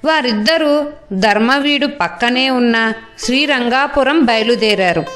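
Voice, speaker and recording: A woman's voice: high voice, fairly bright tone. A woman reading scripted narration for a children's moral story.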